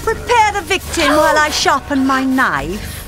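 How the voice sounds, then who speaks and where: An evil queen of radio drama, cold and commanding. Her high voice swings widely as she declaims, over prominent music. Every phrase is imperious and cruel. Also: very animated, moderate pace. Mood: calm menace.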